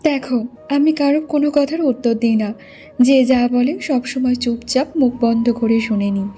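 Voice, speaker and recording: A woman's voice: fairly high pitch, moderate pace, dark tone, faint music behind, natural voice. A woman playing a meek, sorrowful village wife in a cartoon, speaking in a plaintive, earnest way. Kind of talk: Bengali moral cartoon voice acting.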